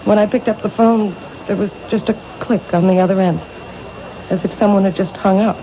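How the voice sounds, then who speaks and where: A woman's voice: medium pitch, steady tone, fairly quick, close to an everyday voice. An actress playing a timid, fearful young woman who owns a bookshop, speaking hesitantly and stammering in a 1970s radio drama. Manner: hesitant, soft-spoken, stammering. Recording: dull old radio broadcast, noisy.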